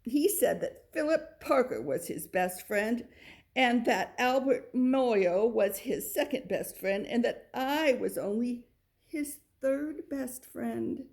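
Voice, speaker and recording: A woman reading a children's picture book aloud, with expressive delivery and little asides to the listener. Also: on the high side, slow pace. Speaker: storybook reader.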